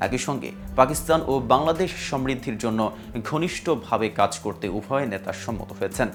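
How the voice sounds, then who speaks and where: A man with a Bangladeshi accent presenting scripted news in a formal, measured newsreader delivery. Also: mid-range voice, lively intonation. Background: music bed.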